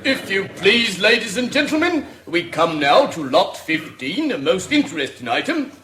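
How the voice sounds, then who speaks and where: Formal auctioneer in a radio play, high swoopy voice, very echoey. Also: male voice, big pitch swings, normal speed, a bit bright. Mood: formal, proclaiming. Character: projecting, formal male auctioneer addressing a sale. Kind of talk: BBC radio drama acting. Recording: echoey room with background noise.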